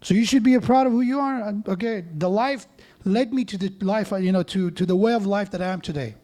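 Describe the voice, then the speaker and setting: A man's voice: high voice, a little fast, warm tone. A man preaching a sermon in a mosque, conversational, with joking asides and frequent restarts.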